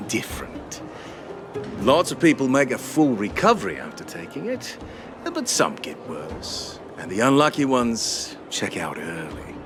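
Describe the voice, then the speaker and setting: A man voicing a world-worn, cynical miner in a video game, blunt and guarded, with music underneath. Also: on the high side.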